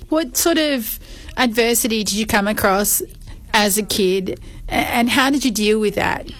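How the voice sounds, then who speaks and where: A woman hosting an interview, reading an introduction and asking questions in a clear, composed way. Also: mid-range voice, a little slow, low-quality recording. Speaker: interview host.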